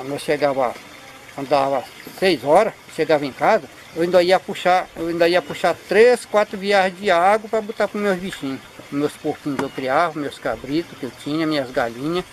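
A man's voice: a little high, moderate pace, slight background noise, low-quality recording. A man, chatting outdoors about his life and stumbling over words. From Pará, Brazil. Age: 60s. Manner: rambling, storytelling, stumbles on words. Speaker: fishing-spot worker being interviewed. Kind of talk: casual chat. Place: outdoors by a river, sitting at a wooden table. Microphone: camera on the table in front of him.

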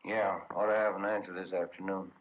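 Male actor as skeptical detective partner, lowish voice, American, laconic, muffled old radio recording. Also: level tone, fairly quick, lightly acted. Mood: matter-of-fact. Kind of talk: 1950s radio acting. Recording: muffled, noisy vintage radio broadcast.

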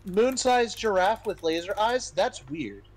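Lively, high-ish tenor man, playfully wry. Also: unhurried. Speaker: player in an online card game. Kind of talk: casual joking game banter.

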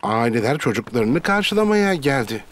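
Animated male cartoon narrator. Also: medium pitch, normal speed. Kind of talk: scripted children's cartoon narration. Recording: loud music behind the voice.

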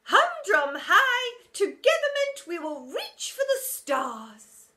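A woman's voice: very high voice, huge pitch swings, slow pace, sharp tone, voice pushed up, heavily acted. A woman voicing a vain, pompous female art teacher who drags out her words and mangles them with made-up endings. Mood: grandiose. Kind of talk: storybook character voice.

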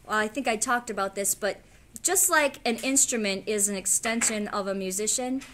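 An American woman in her forties, an English teacher, leading a classroom lesson and reading aloud in an animated, informal way. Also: mid-range voice, moderate pace, a little hiss or hum. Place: school classroom with whiteboard. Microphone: camera a few feet away.

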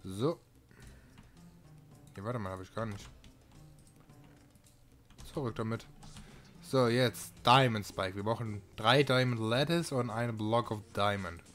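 A man's voice: fairly low pitch, slow, long pauses, fairly bright tone. A man giving casual let's-play commentary as a gaming video host, thinking aloud while he plays. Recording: music behind the voice.

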